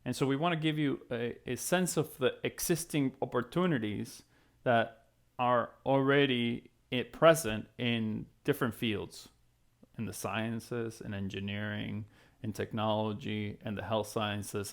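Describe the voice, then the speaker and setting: Guy in his 30s, creaky mid-pitched voice, explaining stuff to students in an encouraging chatty way. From Venezuela (Caracas). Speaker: physics professor and program co-founder. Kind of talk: explanatory talk to students, conversational.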